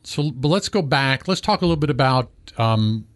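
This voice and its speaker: A man's voice: medium pitch, animated, normal speed, a bit bright. Male American radio host, mid-range voice, conversational interviewing in a studio.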